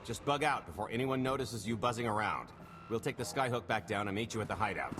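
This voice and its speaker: An actor playing a wisecracking, cocky scoundrel smuggler in a video game cutscene, with glib, sardonic banter. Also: male voice, on the low side, expressive, fast talker, lightly acted. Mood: brisk, in command. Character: wisecracking male scoundrel smuggler, cocky, dry-witted schemer. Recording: loud music behind the voice.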